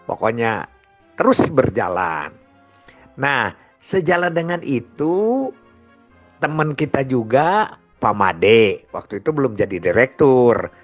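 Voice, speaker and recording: A man's voice: mid-range voice, big pitch swings, slow pace, long pauses. Older Indonesian man reminiscing, dull lo-fi recording. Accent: Sundanese-region Indonesian. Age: older, grandfather. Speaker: storyteller. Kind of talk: informal reminiscing monologue. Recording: dull lo-fi sound with music behind. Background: music.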